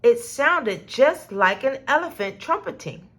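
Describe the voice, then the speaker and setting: A high-pitched woman narrates a children's story. Her delivery is slow and deliberate, with very animated intonation that rises and falls expressively. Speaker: storybook reader. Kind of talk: children's read-aloud narration.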